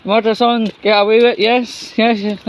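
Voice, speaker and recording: A man giving casual, opinionated news commentary in a rambling, halting, sweary way. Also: high-pitched, little pitch movement. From United Kingdom. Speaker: host of his own commentary vlog.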